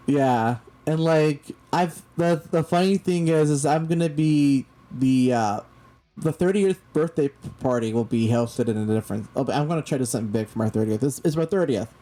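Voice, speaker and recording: A man's voice: medium pitch, normal speed, mellow. A man in his late twenties hosting a casual talk show, rambling with frequent restarts and fillers. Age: 20s. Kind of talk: casual chat.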